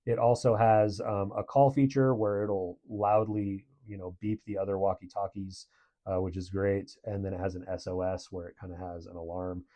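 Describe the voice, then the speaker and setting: A man's voice: sits low, level tone, normal speed, warm tone. A man giving a casual product review in a conversational, approving way.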